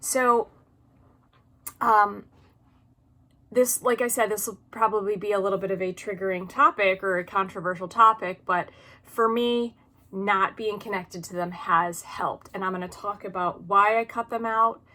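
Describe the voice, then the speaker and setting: A woman's voice: medium pitch, fairly even intonation, moderate pace, sharp tone. A woman in her early forties hosting an informal advice video, talking earnestly and with emphasis from personal experience. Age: early 40s. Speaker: video channel host.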